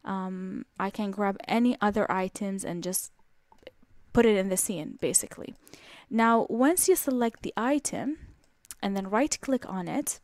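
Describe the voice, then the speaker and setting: Woman explaining software with frequent ums. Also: mid-range voice, measured pace, no echo, clean studio sound. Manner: casual explanatory, many ums and restarts. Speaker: software tutorial presenter. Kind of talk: screen-recorded software walkthrough.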